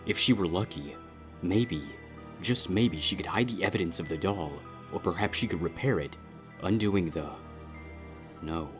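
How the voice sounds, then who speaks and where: A man with a deep voice narrates dramatic fiction. He reads scripted prose in the narrator's role, with music playing underneath. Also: moderate pace.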